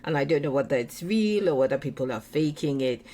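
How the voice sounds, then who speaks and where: Low-voiced woman hosting an interview. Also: lively intonation, fairly quick, mellow. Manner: encouraging, gives frequent short affirmations. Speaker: podcast host. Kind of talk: interview questions.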